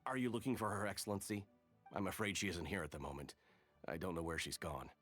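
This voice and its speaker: A man's voice: deep voice, steady tone, fast, fairly bright tone, soft background music. A man voicing a polite male soldier on guard in a game, speaking matter-of-factly.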